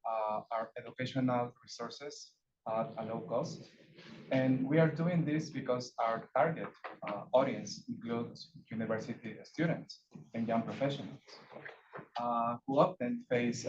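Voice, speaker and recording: A man from Ecuador, a non-native English speaker whose first language is Spanish, speaking as a panelist, quietly and hesitantly, with many fillers and repeated words. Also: mid-range voice. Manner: quiet, asked to speak louder. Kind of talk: prepared panel remarks. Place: conference side event. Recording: dull lo-fi audio with faint noise.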